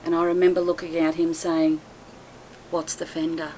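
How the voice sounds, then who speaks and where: A woman guest in a casual interview, telling stories with frequent laughter and animated asides. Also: low voice, moderate pace, slight background noise, poor audio. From Australia. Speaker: interview guest, boat cruiser.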